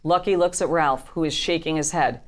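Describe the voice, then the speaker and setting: A woman's voice: low-pitched, moderate pace. A woman narrator reading screenplay stage directions at a table reading.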